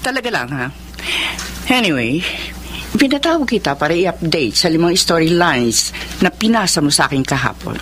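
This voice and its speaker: A woman's voice: on the low side, sing-song, normal speed, slight background noise. Imperious, cutting Filipina lady boss. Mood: cold, condescending. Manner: imperious, sharp, switches between Tagalog and English. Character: harsh, demanding female film company boss, imperious and cutting. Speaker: radio drama actress. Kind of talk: Filipino radio drama acting with narration. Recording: music bed under the voice.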